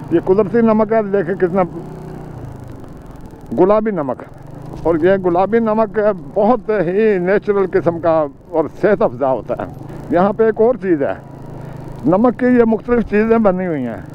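Older Pakistani man narrating from a motorbike. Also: high voice. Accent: Pakistani Urdu. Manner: chatty guide, pointing out sights, reciting short prayers along the way. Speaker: moto vlogger. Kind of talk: casual travel commentary while riding. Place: outdoors on a motorcycle on mountain roads. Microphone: helmet or bike-mounted camera mic. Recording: muffled camera audio with clear engine and wind noise.

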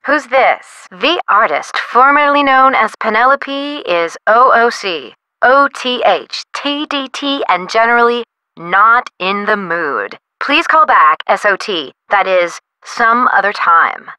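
A quirky artist, a video game eccentric with a theatrical, flippant drawl. She speaks very slowly in a sharp, bright voice. The recording is dry. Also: on the high side, lively intonation, smooth, no room sound, exaggerated voice.

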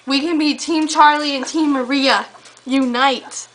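High-voiced young woman teasing on a call. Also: fairly even intonation, measured pace, fairly bright tone. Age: young adult. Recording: noisy amateur home camera recording.

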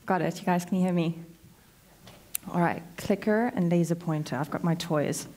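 A woman's voice: on the low side, moderate pace, warm tone. South african woman talking at a conference, casual and chatty, lots of ums, big hall. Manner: conversational, chatty with frequent ums. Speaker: conference speaker, consultant. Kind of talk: conference talk. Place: large hotel conference hall, indoors.

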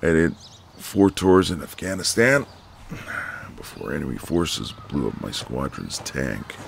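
Man acting a very deep, gravelly ex-marine neighbour. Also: noisy background, heavily acted. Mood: easygoing, modest. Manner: slow, casual, flirtatious drawl with pauses. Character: flirtatious, easygoing retired ex-marine neighbour man. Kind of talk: intimate romantic audio roleplay, ASMR.

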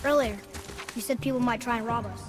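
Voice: medium pitch, fast, over music, close to an everyday voice. A young performer playing an eager boy, a warrior's son anxious to prove himself, in a video game. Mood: curious. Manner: youthful, eager, inquisitive. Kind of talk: video game character acting.

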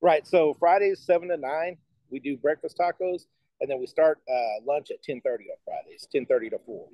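A man's voice: on the high side, normal speed, warm tone, no room sound, poor audio. A middle-aged man chatting casually as an interview guest on a video call, explaining his restaurant business. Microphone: video call, device at about arm's length.

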